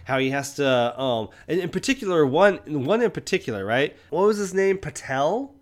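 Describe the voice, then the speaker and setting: A man's voice: on the high side, animated, normal speed. Casual male movie podcast host. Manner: conversational, rhetorical check-in questions. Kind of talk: casual film review podcast talk.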